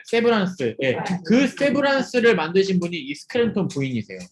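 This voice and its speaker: Lively young man preaching to teens. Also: high-pitched, fairly quick, echoey room, a little hiss or hum. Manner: lively, asks students questions and jokes with them. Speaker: youth ministry preacher. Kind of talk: interactive youth sermon over video call. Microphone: video call.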